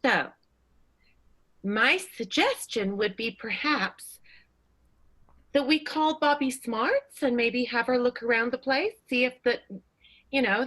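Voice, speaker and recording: A woman's voice: on the high side, bright, forceful tone, full character voice, low-quality recording. A woman playing a tactful, genteel vaudeville diva, mannered and wordy, in an improvised comic radio play.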